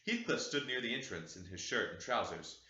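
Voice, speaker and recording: Guy reading an old novel audiobook, very bright voice, lively, echoey room. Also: fairly low pitch, fairly quick, highs cut off. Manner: expressive, dramatic reading. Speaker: audiobook narrator. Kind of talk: scripted narration of a classic novel.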